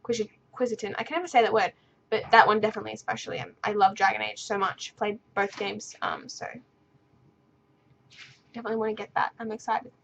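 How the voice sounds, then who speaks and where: A woman's voice: medium pitch, fairly even intonation, fast talker, creaky, muffled recording, slight background noise. A young woman vlogger in her bedroom, answering viewer questions in a rambling, stuttering, unscripted way. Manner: stutters and restarts, rambling and easily sidetracked. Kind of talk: casual unscripted talk to camera.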